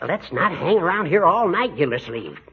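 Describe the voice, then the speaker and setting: A muffled, noisy old radio recording carries a peevish older judge. The voice is high and swings all over as he complains fussily, in 1940s sitcom style. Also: big pitch swings, normal speed. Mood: irritable, nervous. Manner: querulous, complaining. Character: fussy, peevish older male judge, reluctant accomplice.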